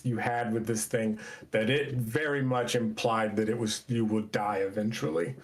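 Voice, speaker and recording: Casual, halting man running a tabletop game. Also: medium pitch. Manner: halting, casual, searching descriptions. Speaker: game master. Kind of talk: narrating a tabletop roleplaying game.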